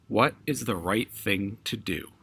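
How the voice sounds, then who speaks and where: A man's voice: on the low side, a little slow, creaky, sharp tone, full character voice. An earnest young boy from a storybook, read by a man, kind and full of wonder. He speaks sincerely and thoughtfully, as if puzzling things out. The recording has some background noise.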